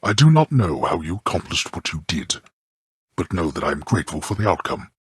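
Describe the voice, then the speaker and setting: A haughty god-king of the underworld for a video game, with a very deep and heavily rasping voice. His speech is formal and dryly contemptuous, swinging widely in pitch as he passes judgment like a stern father. The sound is close and dry. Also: sing-song, a little fast, no echo, exaggerated voice. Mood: grave, subdued. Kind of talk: theatrical video game character dialogue.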